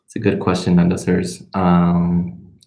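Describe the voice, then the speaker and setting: A young man in his twenties, a native Spanish speaker, talks casually to a livestream in a roomy, echoing space. His low voice stays fairly flat as he thinks aloud and drifts between half-finished sentences. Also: measured pace. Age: 20s. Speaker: medical student and vlogger hosting a live question session. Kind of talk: casual livestream question-and-answer chat.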